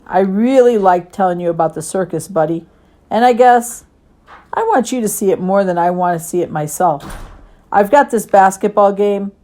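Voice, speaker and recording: A woman's voice: mid-range voice, normal speed, mellow, close to an everyday voice. A woman voicing an eager, kind-hearted young boy in a storybook, earnest and childlike.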